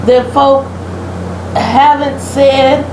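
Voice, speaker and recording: A woman's voice: high voice, steady tone, drawn-out words. A woman co-hosting a casual talk show, sharing her opinions in an emphatic, plain-spoken way. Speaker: podcast co-host. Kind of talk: casual chat, giving opinions on poetry. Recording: roomy echo, clear background hum and noise.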